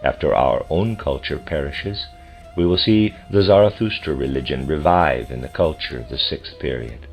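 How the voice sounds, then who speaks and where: A man reading a translated lecture aloud like an audiobook, with measured, formal and deliberate phrasing. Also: very deep voice. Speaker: lecture reader. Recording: dull lo-fi recording with music behind. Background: music.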